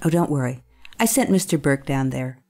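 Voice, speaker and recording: A low-pitched female school staff member, played by an actress, speaks in a measured, coaxing way. She is concerned and probing, in an audio drama with music underneath. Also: expressive, a little mellow, heavily acted.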